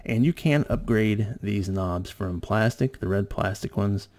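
A man's voice: lowish voice, unhurried, a little mellow. A man giving a casual, explanatory electronics tutorial narration in an informal way. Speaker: host of an electronics tutorial channel.

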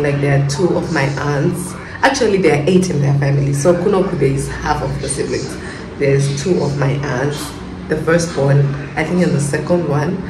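A woman's voice: deep voice, roomy sound, background noise. A young woman chatting to camera in a casual travel vlog. She rambles and fills her sentences with "like". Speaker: travel vlogger.

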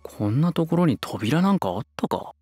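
A man's voice: on the high side, big pitch swings, moderate pace, darkish tone, faint music behind, heavily acted. Young male anime lead: bored, blunt, grumbling, very varied intonation. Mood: puzzled, muttering. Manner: blunt, rough casual speech, muttering. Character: bored, grumbling, laid-back young man, a shogun. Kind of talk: anime voice drama acting.

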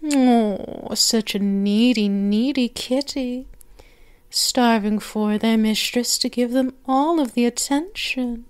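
A woman's voice: fairly high pitch, clear, resonant tone. A woman performing an intimate ASMR roleplay as a doting, teasing, possessive vampire mistress, cooing and sometimes slipping into whispers. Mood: teasing, commanding. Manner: slow, cooing, with whispered phrases and drawn-out words.

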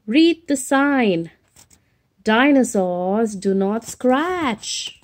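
A woman voicing a bossy, tough little storybook dinosaur with emphatic, drawn-out commands. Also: a little high, lively intonation, full character voice.

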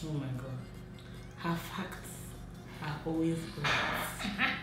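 A woman's voice: low-pitched, slow pace, over music. Playful woman in her twenties teasing her sister, sounds a bit far from the mic, echoey room. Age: 20s. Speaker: guest, host's younger sister. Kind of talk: casual chat. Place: bright home room, indoors.

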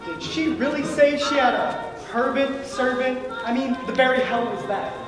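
A man on stage playing an excitable, slangy high school athlete from 1940s America. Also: normal speed. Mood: baffled. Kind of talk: amateur stage play acting. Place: large indoor theater stage, far camera. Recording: echoey distant hall, music behind.

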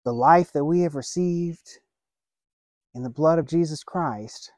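A man in his thirties preaching a sermon outdoors by a lake, earnest and measured, with deliberate pauses. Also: fairly high pitch, darkish tone, no echo, clean studio sound. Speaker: pastor. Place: outdoors by a lake, seated on a bench.

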